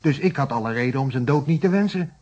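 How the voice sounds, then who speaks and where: A man's voice: a little high, lively intonation, quick pace, a little mellow, husky. An actor playing a cornered, defensive man under questioning in a radio play, apologetic and forever justifying himself. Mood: self-justifying. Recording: muffled vintage radio play recording.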